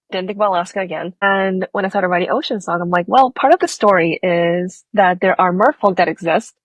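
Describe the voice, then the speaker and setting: Woman, author guest, faint Singaporean-tinged accent, fast talker, lively, dry recording. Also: lowish voice, dry recording, dull audio. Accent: Singaporean-tinged American. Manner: animated, quick, acts out her own reactions. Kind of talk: conversational interview.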